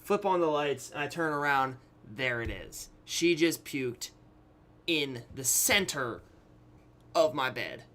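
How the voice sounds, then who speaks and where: A young adult man telling a personal story casually to his viewers, acting out quotes, pausing for effect and often checking that listeners follow. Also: a little high, animated, bright, forceful tone. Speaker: YouTube storyteller.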